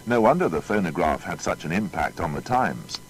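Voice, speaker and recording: A man's voice: sits low, very animated, brisk, slight background noise, rough recording. A man narrating a scripted biography in a dramatic, rhetorical manner.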